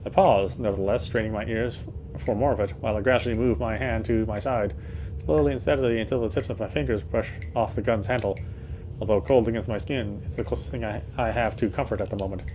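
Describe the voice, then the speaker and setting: A man's voice: on the low side, fairly even intonation, fast, darkish tone, slight background noise. A man reading first-person game narration aloud in a dramatic storytelling style, now and then stumbling over words.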